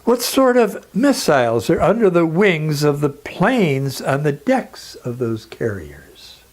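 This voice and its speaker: A man delivering a scripted, persuasive lecture in a solemn, emphatic manner with dramatic pauses. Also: on the high side.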